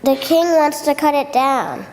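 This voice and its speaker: A woman's voice: sits high, a little slow, darkish tone, a little hiss or hum. A woman playing a doubtful but polite village child in a readers theater stage play. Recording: reverberant hall.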